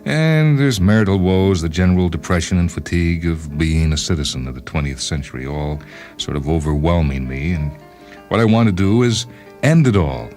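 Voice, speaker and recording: Storybook lead: wry, deadpan, wordy male inventor, disgruntled husband, acted by a narrator, very low voice over soft music. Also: normal speed. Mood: weary, despairing. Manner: deadpan, wry, fussily verbose. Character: disgruntled, self-justifying inventor, a married time traveller. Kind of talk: first-person dramatised short-story reading.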